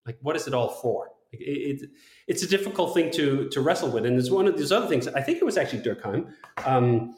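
A man's voice: medium pitch, sing-song, brisk, darkish tone. Man reflecting in an echoey room. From Denmark. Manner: philosophical, thinking aloud, emphatic. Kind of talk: reflective long-form conversation.

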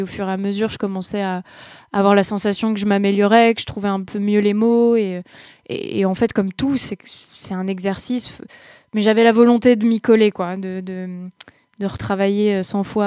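Young female singer, interview guest, halting and thoughtful, mid pitch, dry close mic. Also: darkish tone, dry recording. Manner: hesitant, many fillers and restarts. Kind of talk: casual interview answers.

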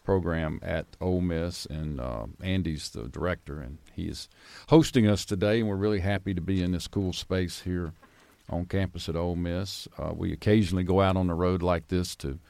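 A man's voice: low-pitched, fairly even intonation, moderate pace, creaky, darkish tone, no room sound. A man hosting an arts radio interview in a folksy, informal, conversational way. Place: university building studio.

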